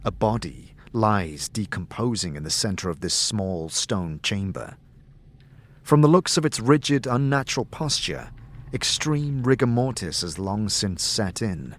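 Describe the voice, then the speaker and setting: A man's voice: fairly low pitch, expressive, measured pace. A man reading dramatic, scripted second-person narration of a fantasy story. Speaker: narrator and game master. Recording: music under the voice. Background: background music.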